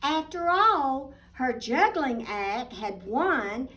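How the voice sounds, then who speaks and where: A woman reading a picture book aloud expressively, deliberate and emphatic, with little asides to young listeners. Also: high voice, animated, fairly bright tone. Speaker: children's storybook reader, teacher. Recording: distant microphone.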